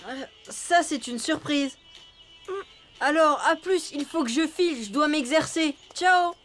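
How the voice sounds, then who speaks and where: A woman's voice: high voice, unhurried, a bit bright. A woman voicing an impatient young boy elephant in a cartoon, boastful but easily discouraged, whiny and emphatic. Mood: boastful, evasive. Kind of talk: French cartoon dubbing. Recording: music behind the voice.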